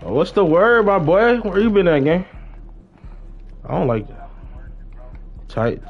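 A man's voice: sits high, very animated, measured pace, slight background noise. A young American man chatting casually on a gaming livestream, slangy and repeating his greetings to viewers. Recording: slightly dull, lo-fi stream mic.

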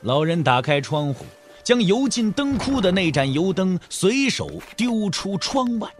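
Lively higher-pitched male drama narrator. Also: measured pace, slight background noise. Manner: expressive, literary storytelling. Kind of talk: dramatic scripted audiobook narration.

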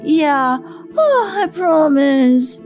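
A woman voicing a sleepy, dutiful schoolgirl big sister in an animated roleplay story, hesitant and mildly exasperated. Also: high-pitched, extremely slow, music in the background, full character voice. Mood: reassuring.

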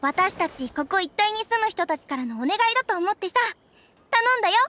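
A woman's voice: very high voice, lively intonation, fairly quick, slight background noise, full character voice. A woman playing a friendly, caring restaurant owner in an anime game, speaking in a cheerful, sociable manner. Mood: kindly pleading. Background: soft background music.

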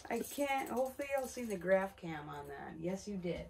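Excitable young man in an ice house. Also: sits high, moderate pace, gravelly, slight background noise. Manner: excitable, swears and exclaims at bites. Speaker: fishing vlogger. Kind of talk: excited casual commentary. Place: small wood-walled ice fishing house.

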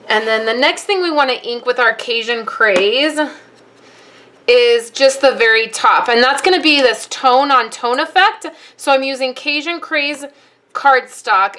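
A woman's voice: high-pitched, sharp tone. A woman giving a casual step-by-step card-making tutorial, chatting through each step as she works at her craft desk.

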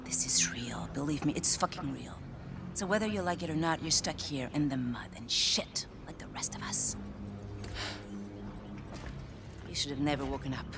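A woman's voice: sits low, brisk, noisy background. An actress playing a hardened, bitter older female commander in a video game, stern and curt. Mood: grim, stern. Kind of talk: video game cutscene acting. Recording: long reverb, clear background ambience.

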